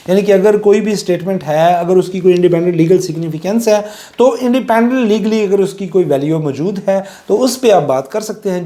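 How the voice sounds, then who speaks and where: A man's voice: a little high, mellow. A middle-aged man with a Pakistani accent gives an informal, conversational lecture, explaining with everyday examples and mixing in English legal terms. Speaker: law lecturer.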